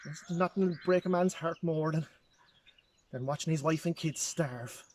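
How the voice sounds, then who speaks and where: Man playing a high-voiced, sorrowful Irish pig farmer. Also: a little mellow, slight background noise, close to an everyday voice. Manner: plain rustic storytelling, halting repeats. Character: grieving, poor nineteenth-century pig farmer and father. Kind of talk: dramatic historical monologue.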